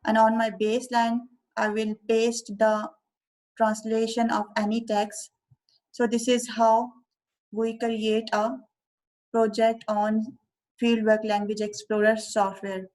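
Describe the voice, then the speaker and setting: Flat-toned Urdu-speaking woman explaining software. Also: on the high side, rough recording. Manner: slow, careful step-by-step explaining. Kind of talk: screen-recorded software tutorial.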